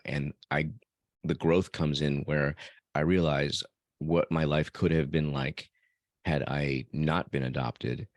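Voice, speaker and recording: A man with an exceptionally deep voice, recorded dry with little room sound. He talks reflectively as a podcast guest, choosing words thoughtfully and pausing within phrases. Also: no room sound. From born in El Salvador, raised elsewhere by adoptive parents. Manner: measured, thoughtful, pauses mid-phrase. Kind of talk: reflective personal conversation.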